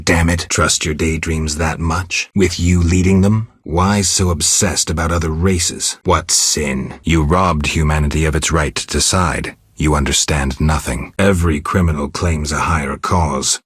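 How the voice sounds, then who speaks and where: A man playing a stoic, weary monster hunter in a video game, terse and deadpan, with a raspy voice. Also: no room sound, studio quality, heavily acted. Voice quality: raspy, gravelly low voice. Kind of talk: video game character dialogue.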